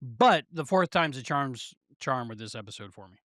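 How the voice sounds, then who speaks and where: A man's voice: mid-range voice, animated, moderate pace, a bit bright, dry recording. A middle-aged man bantering casually as a podcast co-host, playful and jokey, close on the microphone.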